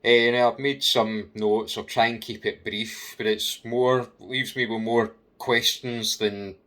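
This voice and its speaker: A Scottish man giving an unscripted personal opinion rant in a halting way, repeating himself and putting questions straight to the listener. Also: mid-range voice, fairly even intonation, fairly bright tone. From Scotland, UK.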